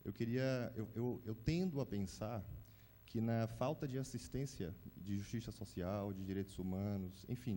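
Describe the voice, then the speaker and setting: A young man asks a question from the audience in a low, dark voice. He speaks earnestly and often tags his sentences. A faint hum sits behind him. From Salvador, Bahia, Brazil. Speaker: audience member asking a question.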